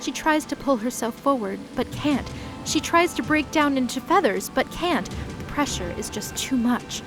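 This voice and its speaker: A woman narrating an audio drama in a measured storytelling voice. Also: high voice, animated, low-quality recording. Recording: narration over loud music.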